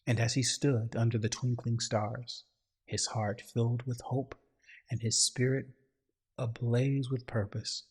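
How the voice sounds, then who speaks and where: A husky-voiced man reading a dramatic scripted story in a solemn, grandiose way. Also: medium pitch, level tone, a little slow. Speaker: narrator.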